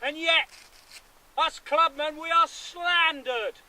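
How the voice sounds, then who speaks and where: A man playing a defiant seventeenth-century country lawyer, declaiming deliberately to rally his armed neighbours outdoors in a historical reenactment. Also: extremely high pitch, bright, forceful tone, exaggerated voice. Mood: earnest, grave. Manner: declamatory, deliberate, with emphatic pauses. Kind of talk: reenacted historical public speech. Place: outdoors at a rural gathering. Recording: outdoor recording with background noise.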